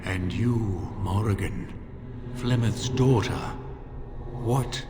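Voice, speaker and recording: Deep, echoing guardian spirit. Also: male voice, background noise. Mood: solemn, probing. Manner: measured, probing, grave. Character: solemn ancient male guardian spirit of a holy shrine. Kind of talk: fantasy game voice acting. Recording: long echoing hall, music behind.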